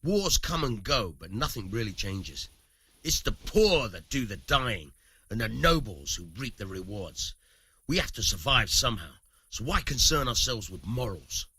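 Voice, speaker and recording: An actor playing a cynical, rough-tongued medieval commoner with a husky voice and colloquial, emphatic delivery in a video game. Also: male voice, fairly low pitch, big pitch swings, unhurried, sharp tone, no room sound, exaggerated voice. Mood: bitter, defiant. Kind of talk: video game dialogue acting.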